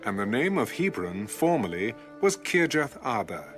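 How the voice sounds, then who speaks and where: An actor playing a solemn narrator in a dramatized scripture reading, stately and declamatory. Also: male voice, medium pitch, very animated, normal speed, fairly bright tone. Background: music.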